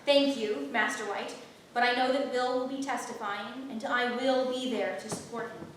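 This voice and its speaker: A woman's voice: on the high side, fairly even intonation, moderate pace, fairly bright tone, close to an everyday voice. A woman acting a polite, loyal, long-suffering townswoman from early modern England in a staged play reading, earnest and deferential. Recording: echoey theatre, distant mic, faint noise.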